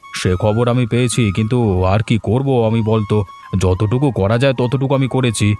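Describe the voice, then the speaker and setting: A man voicing a kindly village headman in a cartoon, calm, fatherly and reassuring. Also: mid-range voice, a little fast, soft background music, close to an everyday voice. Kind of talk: cartoon voice acting.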